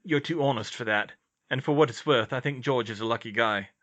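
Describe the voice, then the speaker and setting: A man voicing a kind, steady teenage boy in a story, earnest and gently reassuring. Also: medium pitch, brisk, close to an everyday voice. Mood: understanding.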